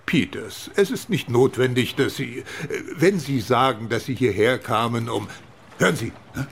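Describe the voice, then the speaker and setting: An actor playing an authoritative, gruff senior police chief in a radio drama, with a brusque, commanding manner. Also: male voice, mid-range voice, expressive, moderate pace. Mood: brusque, sceptical. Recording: loud vehicle and outdoor ambience behind.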